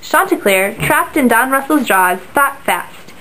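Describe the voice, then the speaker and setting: A young woman narrating a fable with a steady, clear reading for a class puppet show. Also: high-pitched, measured pace, slight background noise, low-quality recording. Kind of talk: read-aloud storybook narration for a class project.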